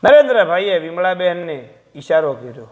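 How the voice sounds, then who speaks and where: A man's voice: high-pitched, very animated, brisk, darkish tone. A man reading a scripted, sentimental moral story as a storyteller.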